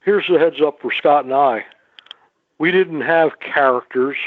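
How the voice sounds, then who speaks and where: A man's voice: fairly high pitch, measured pace, no room sound. A man, a guest on a podcast panel, talks emphatically and repeats his points. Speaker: guest, former wrestler. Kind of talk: casual podcast panel chat. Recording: tinny phone-like remote line.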